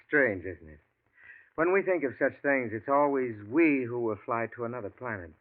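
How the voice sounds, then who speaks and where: A man's voice: medium pitch, expressive, moderate pace, natural voice. An actor playing an earnest male biographer who narrates and asks probing questions in a 1940s radio drama. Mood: curious. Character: earnest adult male biographer, curious, loyal. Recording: tinny lo-fi old radio broadcast.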